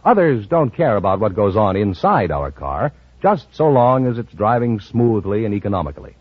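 Male American commercial announcer, 1950s radio. Conversational sales read with big swings in pitch, dry band-limited vintage sound. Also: big pitch swings, moderate pace, breathy edge, no room sound, highs cut off.

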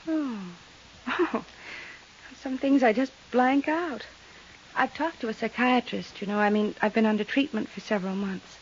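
Fragile, haunted widow in old radio drama actress. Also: female voice, on the high side, moderate pace, close to an everyday voice. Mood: embarrassed, vague. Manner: hesitant, wistful, drifting. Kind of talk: 1950s radio drama acting. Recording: dull, hissy 1950s radio recording.

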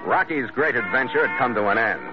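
A male actor playing a tough, wry ship's skipper in an old-time radio drama, gruff and hard-boiled. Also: medium pitch, lively intonation, quick pace, over loud music, lightly acted. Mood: tense narration. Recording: muffled, noisy old radio recording.

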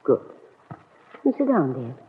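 An American actress playing a kindly, concerned middle-aged aunt in a classic radio serial, speaking in a soothing, genteel, reflective way. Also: female voice, very deep voice, very animated, unhurried, heavily acted. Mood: gentle, chatty. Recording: noisy, narrow-band old radio recording.

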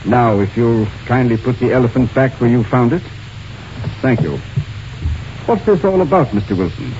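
Older male actor as a shrewd, unhurried gentleman of radio drama, dryly mocking, muffled with faint hiss. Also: mid-range voice, expressive, close to an everyday voice. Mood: coolly triumphant. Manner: polite, dry, unhurried menace. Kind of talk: 1940s-50s radio drama acting. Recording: dull, hissy old radio recording.

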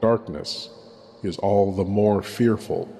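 A man's voice: lowish voice, fairly even intonation, slow, gravelly, mellow. A man hosting a horror show, giving scripted, formal and mock-ominous theatrical narration. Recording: long hall-like reverb.

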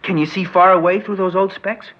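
An actor in an old radio drama playing a cheerful, helpful teenage grandson, plainspoken and friendly. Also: male voice, sits high, fairly even intonation, a little fast. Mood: friendly, eager. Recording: muffled, hissy old radio recording.

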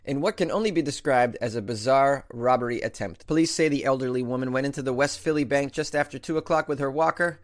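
A man hosts a comedy news show in a wry, jokey manner. He reads odd headlines aloud and riffs on them with quick asides and frequent laughter. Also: medium pitch. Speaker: host.

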